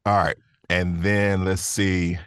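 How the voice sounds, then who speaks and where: A male podcast guest with a low, deep voice, chatting casually. He sounds easygoing and thinks aloud as he goes, recorded dry and without room sound. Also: little pitch movement, slow pace, fairly bright tone, smooth, dry recording. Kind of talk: casual chat.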